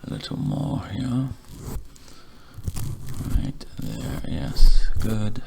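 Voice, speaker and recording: A soft-spoken ASMR roleplayer as a barber, hushed and breathy, often slipping into a whisper, with courteous, soothing, slightly formal phrasing. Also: deep voice, very animated, very slow, noisy background. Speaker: ASMR creator playing a barber.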